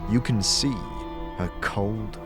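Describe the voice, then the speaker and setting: A man narrating a fantasy audio drama as game master, in deliberate, suspenseful scripted storytelling. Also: on the low side, over loud music.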